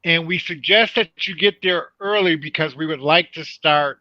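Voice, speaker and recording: Male guest playwright, measured delivery, dry and dull sound. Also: on the high side, bright, forceful tone, no room sound. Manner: deliberate, careful delivery. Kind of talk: announcing event details.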